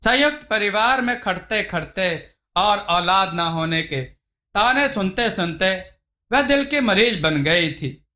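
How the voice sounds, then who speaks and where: A man's voice: high-pitched, lively intonation, slow, pushed and bright. A man reading a scripted folk tale as a storyteller. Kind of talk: scripted narration of a Hindi moral folk tale.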